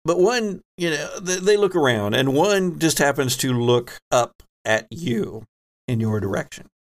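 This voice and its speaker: A man's voice: on the high side, animated, unhurried. Male game master, conversational and wry. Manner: conversational, descriptive, wry asides. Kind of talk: improvised narration of a tabletop roleplaying game.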